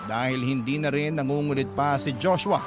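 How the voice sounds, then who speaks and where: Guy reading a letter story for radio drama, medium pitch, music playing under him. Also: steady tone, normal speed. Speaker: radio drama host and narrator. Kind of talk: scripted first-person story narration reading a letter. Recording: music bed under the narration.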